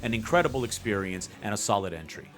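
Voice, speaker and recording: A man with a hoarse, sick voice giving a blunt, excitable review of video games. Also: on the low side, fast talker, over loud music. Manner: excitable, blunt, with playful outbursts. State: hoarse from illness. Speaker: gaming video creator. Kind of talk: opinionated tier list review.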